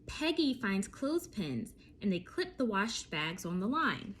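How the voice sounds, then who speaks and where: A woman reading a picture book aloud to young children, telling it expressively with deliberate phrasing and dramatic pauses. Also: medium pitch, sing-song, a bit bright, slight background noise.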